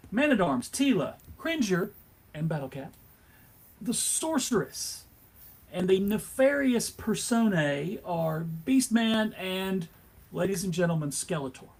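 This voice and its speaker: A man with a husky, rough-edged voice narrates a live comic book reading. His delivery is theatrical and emphatic, like a showy announcer. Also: high-pitched, sing-song, unhurried. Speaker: panelist and narrator. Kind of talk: dramatic narration for a comic table read.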